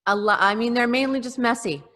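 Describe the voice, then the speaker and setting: A woman co-hosting a podcast over a video call, reading out comic lists and chatting casually with a deadpan joking manner. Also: fairly high pitch, fairly quick. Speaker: podcast co-host. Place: remote group video call. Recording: roomy video call with long reverb.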